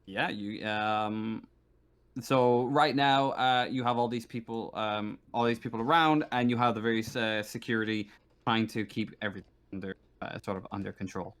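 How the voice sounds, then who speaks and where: A man's voice: on the low side, steady tone, normal speed, fairly bright tone, clear, resonant tone. A man improvising narration as a tabletop game master, hesitantly, often stumbling and repeating words.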